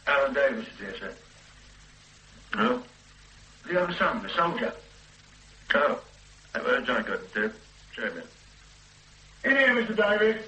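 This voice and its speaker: An actor playing a distracted, blunt police detective in a radio drama, speaking in a preoccupied way. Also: male voice, mid-range voice, huge pitch swings, measured pace, pauses often, bright, forceful tone, heavily acted, poor audio. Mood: businesslike. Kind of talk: 1980s radio drama acting.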